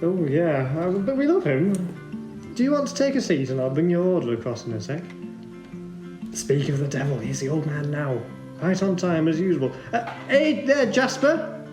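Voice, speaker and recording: A man's voice: on the high side, very animated, moderate pace. A man playing a friendly, polite, chatty café server in an audio drama, in a roomy space. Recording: roomy sound with guitar music.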